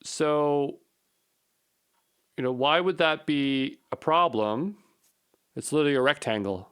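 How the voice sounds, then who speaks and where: A man's voice: fairly high pitch, measured pace, fairly bright tone, smooth. A man giving an unscripted coding walkthrough as an instructor, thinking aloud and muttering short repeated phrases as he puzzles things through. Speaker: programming instructor.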